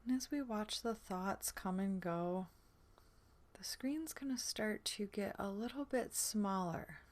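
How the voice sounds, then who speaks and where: A woman leading a guided sleep meditation in a gentle, soothing manner, her soft voice often trailing into a breathy near-whisper. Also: medium pitch. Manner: soothing, gentle, unhurried with long pauses.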